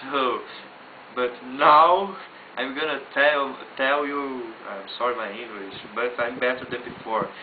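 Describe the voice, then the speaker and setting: A young Brazilian man speaking English with a Portuguese accent in a halting, apologetic way, often laughing, filmed at home by a flatmate. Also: medium pitch, slight background noise. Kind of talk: casual video message. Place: house, indoors. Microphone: camera held by flatmate. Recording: tinny, roomy lo-fi home video.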